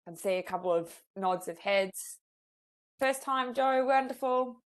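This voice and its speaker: A woman's voice: fairly high pitch, measured pace. A woman with an Australian accent, a psychologist in her thirties, leading a webinar in a friendly, chatty way with many fillers. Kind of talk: webinar presentation. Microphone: video call.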